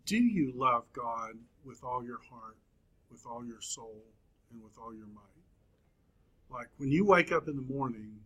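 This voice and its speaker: A man in his fifties teaching a Bible class, speaking slowly with frequent pauses and fillers. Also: mid-range voice, animated, a bit bright, rough recording. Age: 50s. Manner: slow, halting, many fillers and pauses. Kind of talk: classroom lecture.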